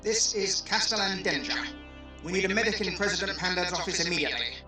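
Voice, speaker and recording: Authoritative castellan played by a man, high-pitched, urgent, heard at a distance with music. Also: lively intonation, fast. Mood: alarmed. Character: alarmed, authoritative castellan of the guard. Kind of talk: audio drama acting. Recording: distant from mic, music behind.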